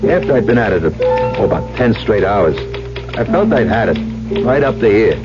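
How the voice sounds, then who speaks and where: A man's voice: lowish voice, expressive, moderate pace, close to an everyday voice. A man acting in a 1970s radio drama as an exasperated, uneducated typist, griping and confiding in plain slang. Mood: weary, confiding. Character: uneducated, exasperated working-stiff male typist, plain-spoken. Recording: muffled old radio broadcast with music.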